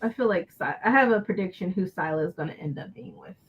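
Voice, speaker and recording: A woman in her thirties co-hosting a casual book chat, relaxed and teasing. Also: fairly low pitch, fast, slightly rough. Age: 30s. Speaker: co-host of a book livestream. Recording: dull lo-fi stream audio.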